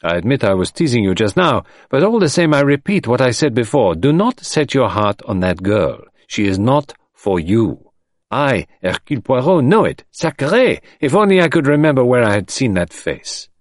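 Man playing an ironical detective, lively pitch. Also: fairly quick, hardly any pauses, dry recording, clean studio sound, lightly acted. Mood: emphatic, confiding. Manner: gentle, ironical, emphatic. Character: middle-aged Belgian male detective, courteous, shrewd, gently ironical. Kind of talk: audiobook character voice.

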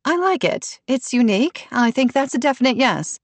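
A woman's voice: high-pitched, expressive, normal speed, dry recording, pitched above the performer's own voice. A woman voicing an anxious, friendly pregnant woman who is eager to please, in an audiobook. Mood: cheerful. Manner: nervous, conciliatory. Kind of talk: audiobook character dialogue.

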